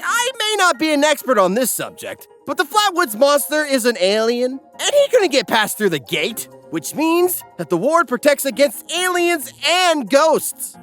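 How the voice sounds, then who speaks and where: A man's voice: extremely high pitch, sing-song, measured pace, few pauses, fairly bright tone. A man narrating an anime parody recap as scripted comedy, mock-dramatic and wry. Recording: music behind the voice.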